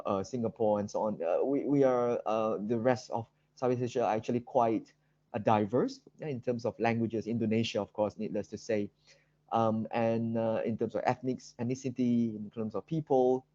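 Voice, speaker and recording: A man with a Malaysian accent giving an academic seminar presentation, speaking haltingly with very frequent ums and repeated words. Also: medium pitch, dark tone. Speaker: guest lecturer. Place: online video call seminar. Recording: dull, roomy video-call audio.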